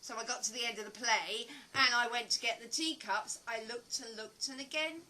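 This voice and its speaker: A woman's voice: on the high side, fairly quick, bright, forceful tone, slight background noise, poor audio. An actress playing an earnest, philosophical woman survivor in an audio play, with reflective, dramatic phrasing. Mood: animated, reminiscing.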